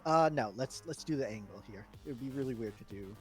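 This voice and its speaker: Halting man chatting casually over background music. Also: mid-range voice, lively intonation. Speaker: streamer running a fantasy wrestling booking game. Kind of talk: casual improvised live-stream chat. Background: chillhop video game music.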